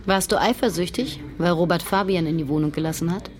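A woman's voice: lowish voice, fairly quick, slight background noise, natural voice. An actress playing a grieving, direct young woman who questions people in a naturalistic radio drama. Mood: searching.

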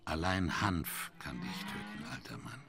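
Creepy doom prophet sailor, really deep n creaky, solemn, cryptic, radio drama. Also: male voice, wildly swooping pitch, measured pace, full character voice. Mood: ominous. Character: ominous Parsee harpooner prophesying doom.

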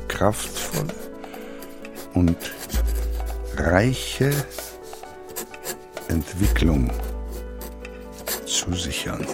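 Elderly industrialist founder, played by a male actor: earnest and formal, low creaky delivery, documentary reading. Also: heavily acted. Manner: deliberate, formal, solemn. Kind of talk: dramatised reading of historical letters and testament.

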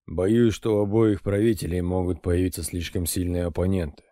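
A man reading an audiobook, voicing a composed middle-aged intelligence colonel who reports in a measured, matter-of-fact way. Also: low-pitched, little pitch movement, warm tone, no echo, clean studio sound, pitched below the performer's own voice, lightly acted. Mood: even.

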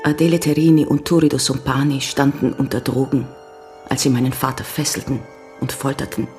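An actress playing a grave young American woman giving measured, sombre testimony in a German radio crime drama. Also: a bass voice, level tone, darkish tone, soft background music. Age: young adult. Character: grave young American woman recounting her father's betrayal. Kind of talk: German radio play acting.

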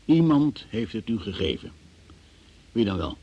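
Stern, probing magistrate. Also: male voice, fairly low pitch, very animated, unhurried, slightly rough, lo-fi sound, heavily acted. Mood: accusing. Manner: insistent, patronising, sceptical. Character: stern, probing male magistrate questioning a suspect. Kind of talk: radio drama acting.

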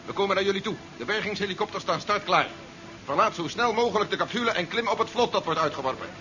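High-pitched commanding army general. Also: male voice, fast, sharp tone, soft background music. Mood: businesslike. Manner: curt, authoritative orders. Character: commanding, cautious army general running a space capsule recovery. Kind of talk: 1970s science-fiction radio play acting. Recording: dull 1970s radio recording, engine noise behind.

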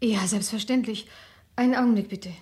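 An actress playing a sleepy, anxious doctor's wife in a German radio crime play, breathy and worried. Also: female voice, fairly high pitch, normal speed, a little mellow, close to an everyday voice.

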